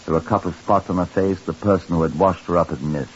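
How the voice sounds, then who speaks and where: A man narrating a mystery in the first person as the detective, with dry, measured storytelling in old-time radio style. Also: low voice, natural voice. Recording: muffled old radio recording with hiss.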